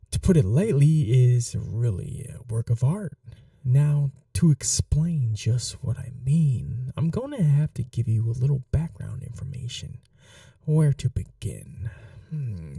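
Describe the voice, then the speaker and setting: A performer acts out an obsessive, courteous vampire in an intimate ASMR roleplay. The voice is gravelly and unhurried, coaxing in soft murmurs that slip toward whispers. The sound is dry, with a quiet menace beneath the politeness. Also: mid-range voice, no echo, recorded from a distance, heavily acted. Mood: sly, amused.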